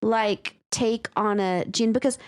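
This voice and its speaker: A woman's voice: a little high, slow pace, smooth, dry recording. Casual woman with a dry, close sound. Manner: candid, sweary. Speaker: podcast co-host and writer. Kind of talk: casual story discussion.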